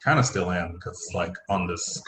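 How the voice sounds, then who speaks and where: Male, low-pitched, casual conversational storyteller, roomy echoey recording. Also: fairly quick, noisy background. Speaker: playwright, director and acting teacher. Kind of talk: casual conversational account of creative work.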